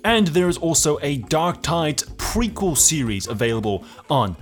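A man playing an upbeat, salesy pitchman who delivers a promo to podcast listeners. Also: very animated, normal speed, faint music behind. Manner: cheery, salesy.

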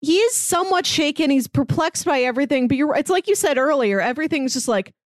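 A woman co-hosting a casual book discussion, telling things in a halting, conversational way with acted-out quotes. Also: high voice, expressive, dry recording, clean studio sound. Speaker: podcast co-host.

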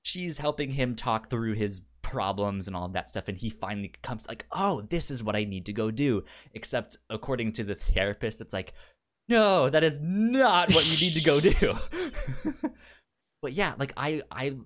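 Halting man in casual podcast chat. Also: mid-range voice, big pitch swings, a bit bright. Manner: halting, repeats words as thoughts form, acts out quotes. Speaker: podcast co-host. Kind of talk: casual chat about a TV show.

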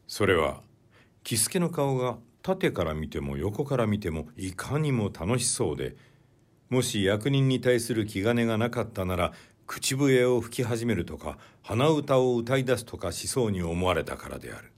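A man reading classic literary fiction as an audiobook narrator, in a measured, deliberate way. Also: lowish voice, sing-song.

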